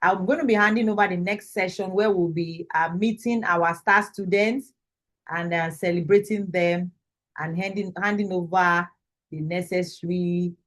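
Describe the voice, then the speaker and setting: A woman's voice: fairly low pitch, steady tone, normal speed. Nigerian woman hosting an online course. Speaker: course instructor and host. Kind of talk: online presentation. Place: video call.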